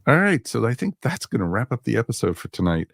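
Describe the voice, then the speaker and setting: A man's voice: mid-range voice, very animated, quick pace, creaky, husky, no room sound, clean studio sound. A man hosting a podcast in casual chat, conversational and repetitive, often dropping in "you know". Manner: conversational, repeats himself, peppered with "you know". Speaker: podcast host.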